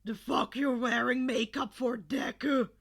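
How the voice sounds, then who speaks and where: Woman acting loud aggressive teenage boy bully. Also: on the high side, steady tone, measured pace, gravelly. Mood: angry, demanding. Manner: loud, sneering, swearing demands. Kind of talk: fan fiction audiobook dialogue.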